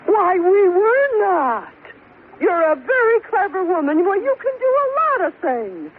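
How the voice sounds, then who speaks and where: A fussy, plain-spoken society matron. She is very high-pitched and swoops dramatically, drawing words out with emphatic wails. The sitcom recording is old, thin and noisy, with an audience. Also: sing-song, measured pace, phone-quality sound, exaggerated voice. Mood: concerned, coaxing. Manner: exclaiming, wailing, emphatic. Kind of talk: 1940s-50s radio sitcom acting.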